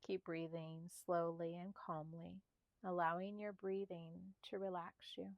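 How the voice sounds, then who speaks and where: A woman guiding a meditation in a soft, slightly breathy voice, speaking calmly and soothingly in short, spaced phrases. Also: lowish voice, unhurried, dry recording, muffled recording. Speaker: meditation guide. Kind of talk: guided meditation narration.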